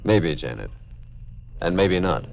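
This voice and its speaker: An actor playing an older, long-lost lover in 1940s radio drama, measured and quietly insistent, with a gravelly voice. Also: male voice, low-pitched, sing-song, heavily acted. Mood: gentle, insistent. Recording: noisy, muffled vintage radio recording.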